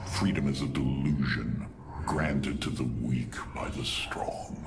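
An actor playing a cruel, scornful alien warlord in a video game, with a breathy, gravelly, menacing delivery. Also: male voice, very deep voice, fairly even intonation, slow pace, exaggerated voice. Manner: menacing, contemptuous. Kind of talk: video game cinematic acting. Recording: distant, under very loud game music.

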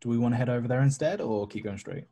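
A man's voice: mid-range voice, racing, crowd noise. A man chatting casually and asking questions as a player in an online role-playing game. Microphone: group video call.

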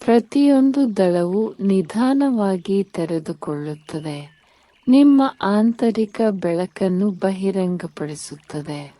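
A woman narrating a guided sleep meditation in a calm, soothing, measured manner. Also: mid-range voice, no room sound.

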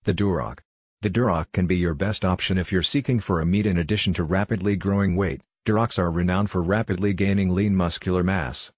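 A man narrating a scripted farming guide in a steady, even reading voice. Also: low voice, brisk, husky, no echo, clean studio sound.

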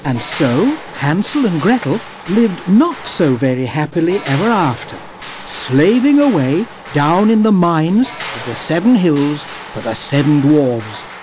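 Animated male fairy-tale storyteller. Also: a little high, measured pace, noisy background. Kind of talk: scripted fairy-tale narration.

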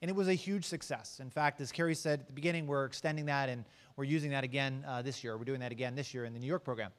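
Brisk man introducing the next speaker. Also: medium pitch. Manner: brisk, upbeat, some restarts. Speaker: accelerator program host. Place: large hall with stage. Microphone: handheld mic.

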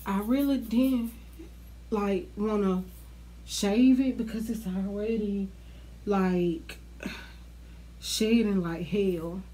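A young woman talks to her camera in a small dark room, her voice stuffy and congested. She drawls slowly and bluntly, swearing freely as she chats to her viewers. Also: medium pitch, steady tone, poor audio. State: stuffy, congested from a sinus infection. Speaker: beauty vlogger. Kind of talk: casual wig review to camera.

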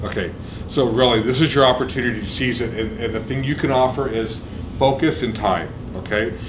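A middle-aged man giving an energetic orientation speech in a university lecture room, often checking in with "okay?" and "right?". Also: medium pitch, fairly quick, noisy background. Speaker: program administrator.

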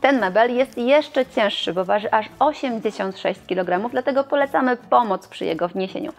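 A young woman presenting and reviewing furniture in a showroom, in a clear, explanatory scripted delivery. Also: on the high side, normal speed, faint music behind.

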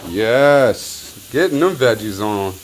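A man's voice: medium pitch, expressive, slow pace, a little hiss or hum. A man in his thirties with an American accent, chatting casually and playfully while cooking, with slangy, repeated phrases. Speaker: family vlog co-host cooking. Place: home kitchen, indoors. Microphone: handheld camera mic a few feet away. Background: sizzling griddle.

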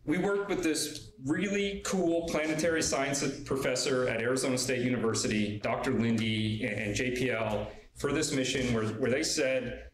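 A man in his thirties giving a live technical talk as an engineer, informal and energetic with many restarts, speaking into a stand mic in a large room. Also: medium pitch, moderate pace, few pauses. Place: large open event room. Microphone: stand microphone at a podium. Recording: roomy live talk audio.

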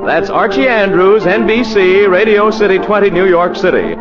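A man's voice: sits high, expressive, normal speed. A man performing as a radio show announcer, reading a script in upbeat 1940s network style. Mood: cordial. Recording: muffled 1940s radio with music, applause.

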